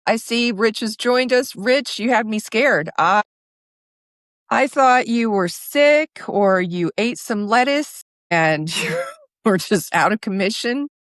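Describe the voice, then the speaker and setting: A woman's voice: fairly high pitch, lively intonation, slow pace, dry recording, clean studio sound. A woman podcast host chatting casually and telling anecdotes in a conversational interview.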